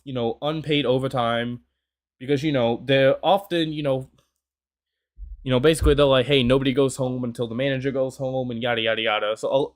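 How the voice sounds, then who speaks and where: A twenty-year-old man from the United States giving casual, rambling reaction commentary, full of "you know" fillers. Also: medium pitch. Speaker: YouTube reactor.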